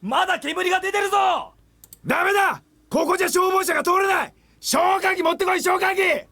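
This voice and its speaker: Frantic, very high-pitched shouting man. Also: brisk, gravelly, full character voice. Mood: alarmed, urgent. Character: frantic shouting man raising a fire alarm. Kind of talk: Japanese visual novel game voice acting.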